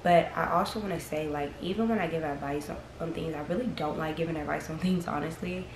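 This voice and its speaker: A woman's voice: low voice, fast talker. A young woman in her early twenties, chatting frankly and rambling as she tells her story to camera. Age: early 20s. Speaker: vlogger. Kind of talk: casual chat to camera. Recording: echoey room with audible background noise.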